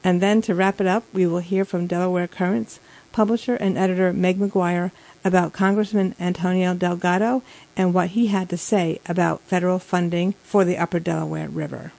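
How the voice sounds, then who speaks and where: Female magazine show host, lower-than-average register, presenting scripted introductions. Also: steady tone, normal speed, creaky, darkish tone, poor audio.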